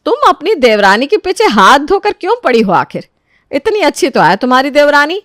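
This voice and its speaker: A woman voicing a devout, authoritative older mother-in-law who is quick to scold, in a Hindi moral story. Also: high-pitched, very animated, fairly bright tone, no room sound, clean studio sound, pitched above the performer's own voice. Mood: exasperated scolding.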